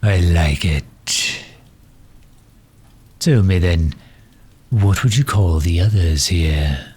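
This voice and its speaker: A man's voice: low voice, extremely slow, a little mellow, husky, heavily acted. A man playing a genteel, erudite villain in an ASMR roleplay, speaking formally and precisely with polite condescension, a courteous and misunderstood sort. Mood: gentle, curious.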